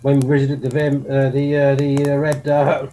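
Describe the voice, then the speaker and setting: A man's voice: medium pitch, little pitch movement, measured pace, a little mellow, natural voice. A man playing a bumbling, jolly old-school army colonel with an English accent and a stammering, old-fashioned upper-class manner in a comic audio drama. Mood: bumbling, amorous.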